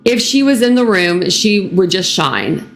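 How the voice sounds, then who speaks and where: Hoarse woman hosting a chatty vlog. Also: medium pitch, very animated, unhurried, slight background noise. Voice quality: raspy. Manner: casual, chatty, many fillers. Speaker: true crime channel host. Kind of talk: reading an article aloud and commenting.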